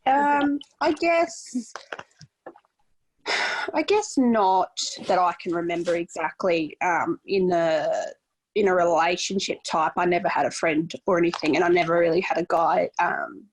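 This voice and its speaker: A woman's voice: fairly low pitch, a little slow, low-quality recording. Candid woman in her late twenties. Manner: open, candid, rambling with fillers. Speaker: podcast co-host. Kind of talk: casual video-call chat.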